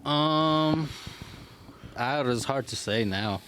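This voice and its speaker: A man's voice: mid-range voice, drawn-out words, a bit bright, slight background noise. A man in a casual podcast chat who answers hesitantly and measuredly, often starting with an um.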